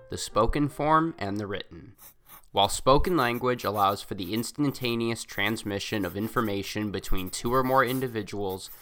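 A man reading a scripted radio feature narration in a measured, formal way. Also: fairly low pitch, pushed and bright. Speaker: radio reporter.

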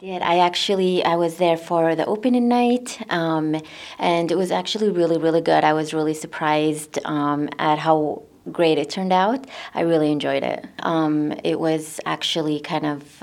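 A woman's voice: deep voice, gravelly, a little mellow. A young woman from Iraq answering interview questions casually, hesitating often with fillers. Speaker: interviewee, volunteer.

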